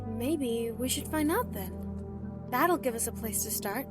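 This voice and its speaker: A woman's voice: a little high, animated, measured pace, over loud music. A woman playing a bold, pushy, sarcastic girl in a fan audio drama, blunt and teasing. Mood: blunt, prodding.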